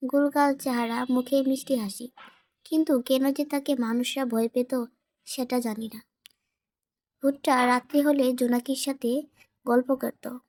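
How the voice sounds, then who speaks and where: A woman's voice: sits high, warm tone. A woman narrating a scripted children's cartoon story as a storyteller.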